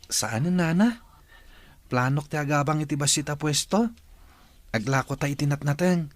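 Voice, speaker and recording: An actor playing an eager, polite young son in a radio drama. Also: male voice, a little high, moderate pace, no echo, natural voice. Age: young adult.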